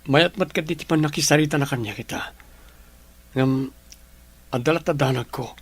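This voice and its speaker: A man's voice: on the high side, normal speed, breathy edge. A man playing a young, protective boyfriend in a radio drama, earnest and guarded in his talk. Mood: guarded, troubled. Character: wounded, protective young man in love, wary of his parents.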